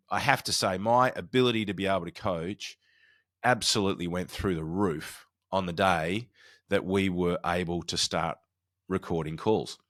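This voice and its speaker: A man with a low-pitched voice talks solo as a podcast host and coach, giving practical advice. His delivery is conversational and informal, often halting to restart a phrase. The sound is close and dry, with very little room to it. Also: a bit bright, no echo. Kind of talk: conversational solo podcast talk, explaining and advising.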